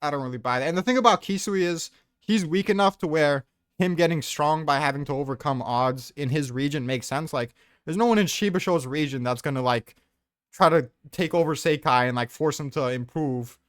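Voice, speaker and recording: A man's voice: fairly high pitch, brisk, clean tone, no echo, studio quality. A man in a casual chat, arguing his points with offhand swearing.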